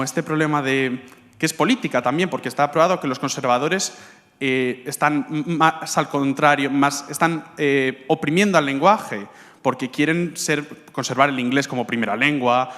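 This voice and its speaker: A man from Spain with a Spanish accent asks the speaker a question from the audience. He talks earnestly, stumbling and restarting with plenty of fillers, his voice fairly high for a man. A long reverb from the hall hangs on his words. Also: fairly bright tone. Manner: earnest, halting, many fillers. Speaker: audience member asking a question. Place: lecture hall.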